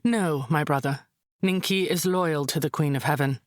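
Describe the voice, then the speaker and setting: A woman playing a calm, wise high priestess who tells myths in a measured, solemn way, as in a visual novel. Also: dry recording, clean studio sound, natural voice. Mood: calm, firm.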